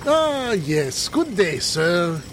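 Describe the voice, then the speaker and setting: A man's voice: high voice, sing-song, very slow, background noise, exaggerated voice. A man playing a grandiose, confident time-travelling inventor with precise, formal, theatrical speech in an old-time radio adventure. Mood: brisk.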